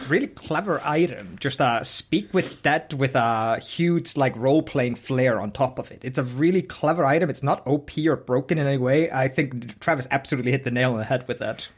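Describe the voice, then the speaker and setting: A woman's voice: rumbling low, brisk, hardly any pauses, husky. A woman chatting casually with a wry, joking manner. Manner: wry, jokey. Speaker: cast member of an audio drama show. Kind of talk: casual chat.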